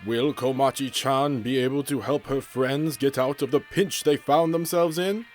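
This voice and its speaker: A man performs a dramatic television announcer hyping a cartoon episode. His mid-pitched voice is theatrical and suspenseful, with music playing behind it. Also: moderate pace, lightly acted. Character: TV announcer plugging a cartoon idol show, dramatic. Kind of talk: promo announcer voice-over.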